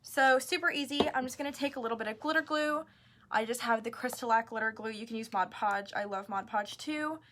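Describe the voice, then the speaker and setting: A woman's voice: on the high side, a little fast, a bit bright. A woman casually hosting a live craft tutorial, chatting informally as she explains each step. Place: craft store.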